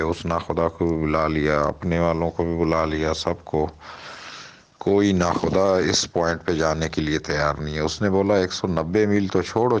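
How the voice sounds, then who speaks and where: A low-pitched man speaks in a recorded phone call. He explains things conversationally to someone he knows, going back over key points to stress them. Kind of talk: casual phone call, urgent briefing.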